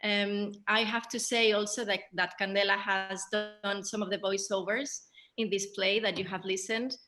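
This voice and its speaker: A woman moderating a post-show theatre Q&A, speaking haltingly as she searches for words. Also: mid-range voice, level tone.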